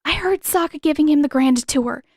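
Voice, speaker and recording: A woman voicing a brisk, stubborn, flustered teenage girl with urgent emphasis in a fan fiction audiobook. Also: high-pitched, darkish tone, no room sound, studio quality, voice pushed up.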